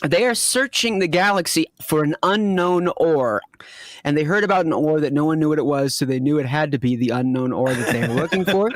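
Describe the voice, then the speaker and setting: Rambling man with a higher-pitched voice. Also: brisk, no room sound. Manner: rambling, searching for details. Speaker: co-host of a fan-fiction reading show. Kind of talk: casual recap and banter.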